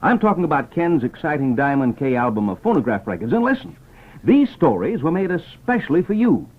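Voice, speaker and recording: A man announcing and pitching to children in 1950s radio style, with an emphatic, enthusiastic salesman delivery. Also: very animated, a little fast. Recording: muffled old radio transcription.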